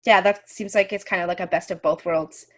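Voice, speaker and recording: A woman's voice: lowish voice, fairly even intonation, a little fast. Friendly woman host, hesitant fillers. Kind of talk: casual interview.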